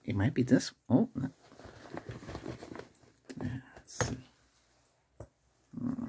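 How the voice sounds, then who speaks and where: A middle-aged man doing a soft-spoken ASMR doctor roleplay, murmuring unhurried questions close to the mic in a creaky, breathy voice. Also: mid-range voice, expressive, a little hiss or hum. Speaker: ASMR performer playing an ER doctor examining a patient. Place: small indoor setup with cloth backdrop.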